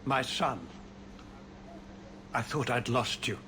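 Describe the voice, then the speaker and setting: A man's voice: mid-range voice, steady tone, slow pace. An actor playing a careworn, cautious city ruler and father in a fantasy video game, speaking in a formal, stately way. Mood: relieved, gracious. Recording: loud ambient noise behind.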